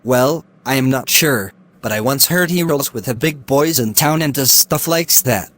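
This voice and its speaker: A man's voice: mid-range voice, moderate pace, a bit bright, lightly acted. A young man, acting a cautious student who firmly refuses to get involved. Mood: dismissive. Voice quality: firm, dismissive refusal. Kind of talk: amateur drama acting.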